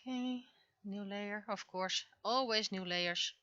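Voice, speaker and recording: A woman's voice: medium pitch, slow pace, pushed and bright, dull audio. A woman livestreamer muttering short, casual remarks to herself as she works on digital art. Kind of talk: casual livestream commentary, thinking aloud while drawing.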